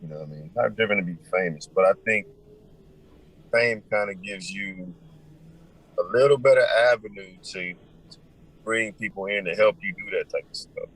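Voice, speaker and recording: A man with a deep, powerful voice, answering interview questions in a relaxed, thoughtful way with many pauses. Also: breathy edge, poor audio. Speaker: musician guest.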